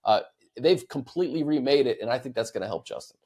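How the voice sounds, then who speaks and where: Male American podcast host, medium-pitched voice, casual sports discussion with measured, thoughtful phrasing. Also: expressive, a little mellow, husky.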